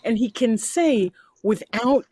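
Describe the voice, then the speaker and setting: A middle-aged woman on a video call, speaking in a low, soft voice she calls ASMR-like, telling a heartfelt, rambling story with pride. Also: expressive, slow pace. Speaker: parent sharing a story. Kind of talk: casual group chat. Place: home video chat.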